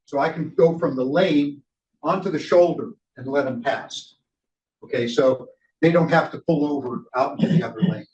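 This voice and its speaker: An older man leading a casual cycling training session, speaking loudly and chatting with the group. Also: on the high side, normal speed, a little hiss or hum. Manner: loud, chatty, laughs between points. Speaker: ride leader trainer. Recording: roomy, dull lo-fi room recording.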